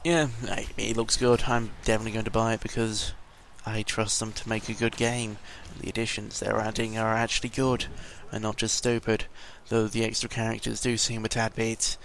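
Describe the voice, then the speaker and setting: British male, late teens, little pitch movement, casual hesitant rambling, slightly ill-sounding, audible background noise. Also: little pitch movement, moderate pace, few pauses, fairly bright tone. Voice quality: sounds congested or under the weather. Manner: hesitant, tangential, mildly sweary. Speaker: vlogger. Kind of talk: casual rambling audio log. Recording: noisy home microphone recording.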